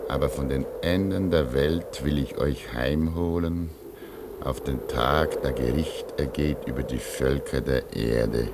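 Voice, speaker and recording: Very deep, solemn old father. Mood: solemn reading. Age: older. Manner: solemn, deliberate, prayerful. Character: pious, weary old father stranded at Arctic station. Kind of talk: vintage German radio play acting. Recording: noisy vintage radio drama recording.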